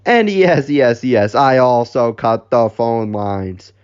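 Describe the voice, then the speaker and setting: A narrator playing a theatrical, boastful culprit, melodramatic and drawn-out, in a comic story. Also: mid-range voice, a little mellow, clean tone, dull audio, natural voice. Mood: gloating.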